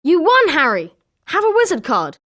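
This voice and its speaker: An eager British schoolboy wizard voiced by a woman for a video game, pitched high. The delivery is chirpy and emphatic, swinging widely in melody, with a friendly competitive edge. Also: huge pitch swings, moderate pace, fairly bright tone, heavily acted. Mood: congratulatory. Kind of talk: scripted video game character lines.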